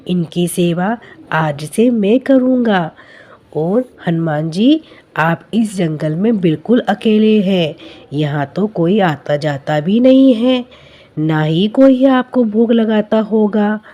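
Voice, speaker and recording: An earnest, simple young woodcutter of a cartoon fable, voiced by a woman. The voice sits at a medium pitch and moves slowly, with a naive, devout manner. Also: animated, dark tone. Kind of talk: Hindi cartoon story dubbing.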